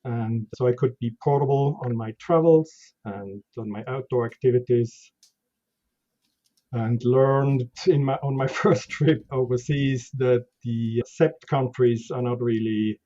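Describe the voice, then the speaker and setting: A man's voice: medium pitch, steady tone, measured pace, warm tone, muffled recording. A man from Switzerland with a Swiss German accent, a guest chatting casually about his background in an interview. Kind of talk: conversational interview.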